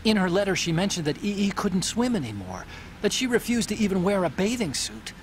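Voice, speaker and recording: An actor playing an earnest, troubled scientist ally, hesitant yet explanatory, in a video game cutscene. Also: male voice, sits high, big pitch swings, fairly quick, slight background noise. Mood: guilty, sorrowful.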